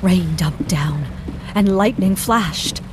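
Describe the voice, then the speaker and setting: An actress playing a grave narrator of invasion horrors, with terse, suspenseful audio drama phrasing. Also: female voice, on the low side, slow, background noise. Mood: tense, urgent.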